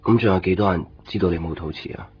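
Man acting a brooding young man, deep voice. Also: fast, a little hiss or hum, lightly acted. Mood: quiet, uneasy. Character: brooding young man, quietly troubled boyfriend. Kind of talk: Cantonese radio drama narration and dialogue. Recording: dull lo-fi radio recording, music behind.

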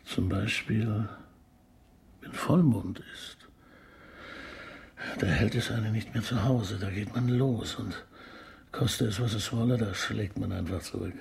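Courteous police detective, slightly creaky voice. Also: male voice, on the low side, a little slow, long pauses, darkish tone, a little hiss or hum. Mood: quietly probing. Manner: polite, roundabout, musing. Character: courteous, opera-loving male police detective, gently probing. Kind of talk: naturalistic radio drama acting.

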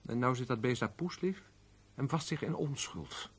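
A man's voice: medium pitch, expressive, moderate pace, slightly rough. An actor playing a sullen, grumbling middle-aged tradesman husband in a naturalistic radio play, aggrieved and plain-spoken. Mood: indignant. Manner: grumbling, plain colloquial speech.

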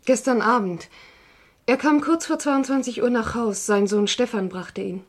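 An actress playing a composed, frank woman under police questioning in a crime radio play, measured and articulate. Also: fairly high pitch, natural voice. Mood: subdued, composed. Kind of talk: German crime radio drama acting.

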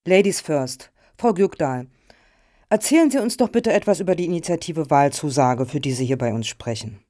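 A woman's voice: sits low, expressive, moderate pace, mellow, no room sound, studio quality. An actress playing a courteous, patronising foundation chairwoman in a satirical radio play, speaking formally and politely. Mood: politely encouraging.

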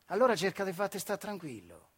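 Actor as a husky, high-pitched old Marche factory worker. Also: male voice, very animated, brisk, a bit bright, exaggerated voice. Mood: brooding, confiding. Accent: central Italian, Marche. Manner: colloquial, rhetorical questions, swings from muttering to outbursts. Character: bitter retired Italian factory worker, weary, sardonic, impassioned. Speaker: stage actor. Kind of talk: theatrical monologue in dialect-tinged speech.

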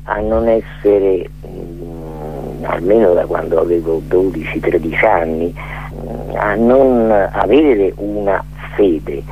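A man's voice: deep voice, slight background noise. An older man speaking as a radio guest in a digressive philosophical monologue, hesitating as he searches for words. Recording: muffled, tinny phone-like radio line.

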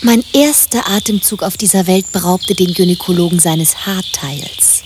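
A woman's voice: on the low side, normal speed, close to an everyday voice. A woman playing an aloof, wry sweetheart in a radio play, with a dry, detached delivery. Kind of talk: German radio play acting. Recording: clear outdoor-like noise, birdsong and crickets.

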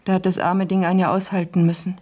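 Serious young housemaid, flat and mellow actress playing. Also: female voice, lowish voice, quick pace, close to an everyday voice. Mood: quietly determined. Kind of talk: German radio play acting.

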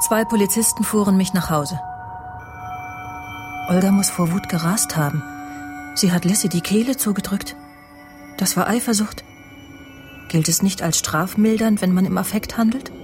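A woman's voice: mid-range voice, moderate pace, long pauses, darkish tone, faint music behind, close to an everyday voice. An actress playing a vain, self-assured woman journalist in a radio crime drama, confiding and imperious as she justifies herself. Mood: indignant, self-justifying.

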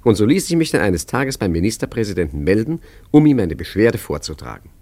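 A low-pitched, genial ageing swindler from a radio play. He chats in an urbane, wry way, pleased with himself and a little sorry for himself, as if confiding in his listener. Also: big pitch swings, fairly quick, darkish tone. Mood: smug, confiding. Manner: chatty, wry, urbane and self-pitying, with small chuckles. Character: genial, self-satisfied ageing male art forger telling his story. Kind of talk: German radio play narration and dialogue.

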